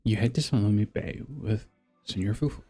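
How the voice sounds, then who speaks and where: Guy streaming a game, relaxed mellow voice, chatting and reading dialogue out, quiet music under him. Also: lowish voice, normal speed. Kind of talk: casual live-stream commentary, reading game text aloud.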